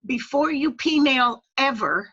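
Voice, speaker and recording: A woman's voice: high-pitched, lively intonation, a little slow, sharp tone, slightly rough. A woman presenting a methodical, step-by-step training walkthrough over an online video call. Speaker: presenter training club officers. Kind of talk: instructional walkthrough on a web call. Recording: dull, lo-fi web call audio.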